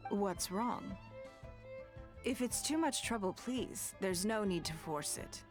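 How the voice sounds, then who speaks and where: A woman's voice: medium pitch, animated, takes it slowly, music in the background. An actress playing a composed, businesslike noblewoman in a video game, speaking in a poised, polite manner. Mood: calm, frank.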